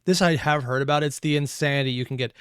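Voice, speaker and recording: A man giving rambling, profane reaction commentary on tabletop lore, going a bit hoarse as he loses his voice. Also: medium pitch, quick pace, dry recording, studio quality. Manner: rambling, profane, animated asides. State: losing his voice. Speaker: reaction streamer.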